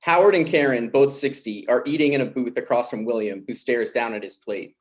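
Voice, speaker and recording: A man reads out the stage directions plainly and evenly. His voice sits at a middle pitch. He tells each scene change without fuss. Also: fairly quick. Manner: plain, even reading. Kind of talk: reading stage directions at a table read.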